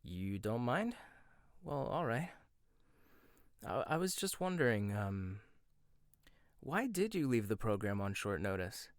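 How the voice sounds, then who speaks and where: A man performing a gentle, intimate ASMR roleplay monologue, hesitant at times and teasing at others. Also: fairly low pitch, sing-song, clean tone, no room sound, studio quality.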